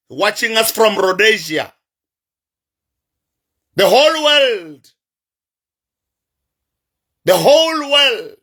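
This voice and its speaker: A South African man with a Sesotho-influenced accent, a traditional healer addressing his online followers in a slow, emphatic, repetitive, preacher-like way. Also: extremely high pitch, bright, forceful tone. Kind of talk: livestream address to viewers.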